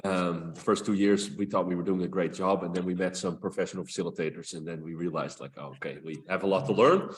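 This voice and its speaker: A man's voice: low-pitched, fairly even intonation, fast talker, few pauses, low-quality recording. A man introducing himself on a video call, earnest and hesitant. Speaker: meeting participant. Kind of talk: casual online introduction.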